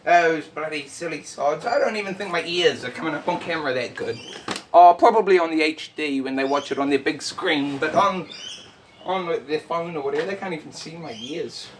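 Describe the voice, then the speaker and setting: A loud man hosting a casual vlog, boisterous and teasing as he banters to camera. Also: fairly high pitch, fairly quick. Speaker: host. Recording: distant mic, faint background noise.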